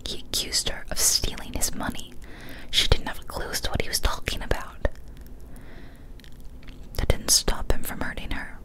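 A young woman reading a scary story as whispered ASMR, soft, breathy and deliberate, close to a studio microphone. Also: poor audio. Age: young adult. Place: small decorated indoor room. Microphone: large studio microphone, close up.

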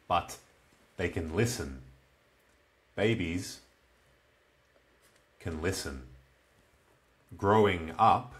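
A man in his twenties with an Australian accent, teaching beginners in very slow, simple, repeated phrases. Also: sits low, a bit bright. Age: 20s. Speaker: English teacher. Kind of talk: slow comprehensible-input lesson for beginners. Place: small plain room indoors.

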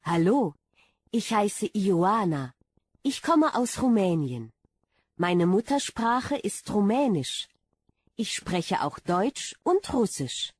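A breathy young woman student, read by a woman introduces herself at an unhurried pace, her pitch moving up and down. Each model sentence is spoken clearly and with care. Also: sing-song, darkish tone, no echo, heavily acted. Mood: careful. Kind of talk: slow scripted model sentences.

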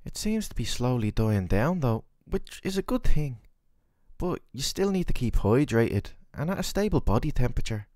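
A man's voice: medium pitch, big pitch swings, normal speed, a little mellow, no echo, studio quality, lightly acted. A man playing a worried, tender boyfriend in an audio roleplay, speaking in a soothing, earnest, affectionate way. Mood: gentle, caring. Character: worried, tender, doting boyfriend caring for his sick partner. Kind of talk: scripted boyfriend roleplay ASMR.

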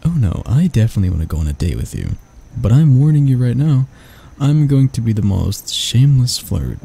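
A man playing a grumpy but soft-hearted barista, flustered and flirty, stammering and teasing in a romantic ASMR roleplay. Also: mid-range voice, big pitch swings, fairly quick, vocal fry, slightly rough, full character voice. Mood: bashful flirting, then playful teasing.

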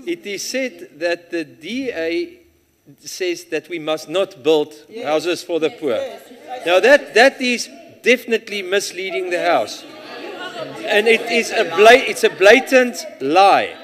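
Afrikaans accented guy in parliament, insistent and cross, highish voice, echoey chamber. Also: expressive, slow, a bit bright, some chatter behind. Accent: Afrikaans South African. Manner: indignant, insistent, interrupting. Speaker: member of parliament. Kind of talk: heated parliamentary objection. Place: large parliament chamber, indoors. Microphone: desk microphone, standing.

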